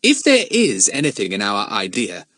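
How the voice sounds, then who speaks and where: A man's voice: fairly low pitch, wildly swooping pitch, moderate pace, fairly bright tone, no room sound, clean studio sound, full character voice. Brief: male reader doing a sensible, moody boy, storybook. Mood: earnest. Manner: earnest, a bit exasperated.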